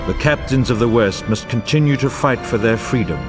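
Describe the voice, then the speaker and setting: An actor playing a solemn, grave war chronicler, narrating a fantasy game in a grandiose, formal and portentous way. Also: male voice, mid-range voice, fairly quick, lightly acted. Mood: resolute, stirring. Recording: orchestral music bed under voice. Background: music.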